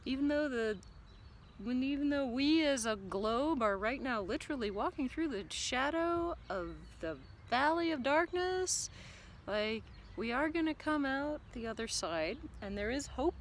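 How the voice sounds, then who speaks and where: A woman's voice: high-pitched, expressive, unhurried, a little hiss or hum. A woman vlogging a reflective, earnest monologue to camera, musing aloud with lots of fillers. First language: not German. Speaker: vlogger and business coach.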